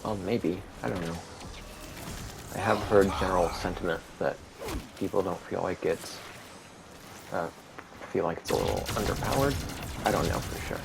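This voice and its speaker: Low gravelly monotone man. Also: moderate pace, long pauses. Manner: monotone, rambling, with many fillers. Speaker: gaming video creator. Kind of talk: casual gameplay commentary. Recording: steady background noise.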